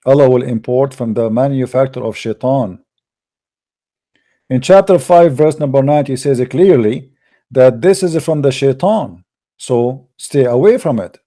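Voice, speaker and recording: A man with a mellow, darker voice hosts a live debate stream. He lectures emphatically and argues his points. He keeps a middle pitch and a steady delivery. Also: normal speed. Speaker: livestream debate host. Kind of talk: lecturing religious debate talk.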